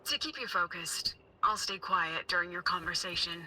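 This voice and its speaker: A woman's voice: lowish voice, normal speed, pushed and bright, poor audio. A woman playing a composed, formal guide in a video game, speaking in a precise, businesslike manner. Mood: calm, instructive. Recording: game music behind the voice.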